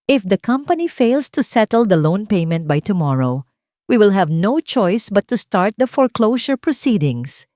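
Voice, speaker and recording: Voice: mid-range voice, sing-song, normal speed, darkish tone, no room sound, studio quality, voice pushed up, close to an everyday voice. A woman voicing a bank caller on the phone who speaks in a cold, formal, emotionless way.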